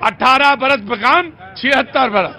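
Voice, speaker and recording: A man's voice: very high-pitched, a little slow, sharp tone, some chatter behind. A man hosting a street debate with the public, interjecting, prodding and repeating what people say. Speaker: TV debate host.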